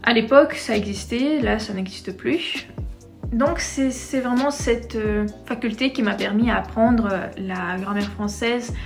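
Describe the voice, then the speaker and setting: A woman in her twenties from Crimea, whose first language is Crimean Tatar, telling her own story to camera in a lively, playful way. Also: fairly high pitch, unhurried, creaky, faint music behind. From Crimea, Ukraine. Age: 20s. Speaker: vlogger.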